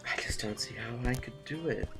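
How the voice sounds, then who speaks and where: An anxious nobleman on the run from an uprising, played by a man. His voice sits at an ordinary male pitch and stumbles with urgency. Music plays along behind this audio drama performance. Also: normal speed, sharp tone. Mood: weary, resigned. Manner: stammering, urgent.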